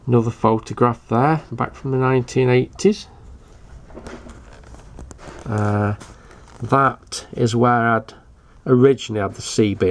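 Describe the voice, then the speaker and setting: An older British man giving a casual show-and-tell commentary in a hesitant, matter-of-fact way. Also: fairly low pitch. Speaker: hobbyist video presenter.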